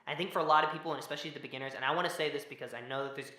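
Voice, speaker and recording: A young man with a high voice, co-hosting a casual podcast. He sounds tired and rambles, restarting sentences and trailing off. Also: fairly even intonation, rapid-fire, sharp tone. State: tired from working all day. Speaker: podcast co-host. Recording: echoey room.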